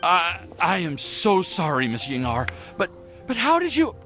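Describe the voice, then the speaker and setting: A man's voice: sits high, wildly swooping pitch, a little slow, over loud music, exaggerated voice. An actor playing an anxious, lovestruck young male alchemist in an anime game, hesitant and stammering. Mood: flustered, nervous. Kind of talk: anime video game voice acting.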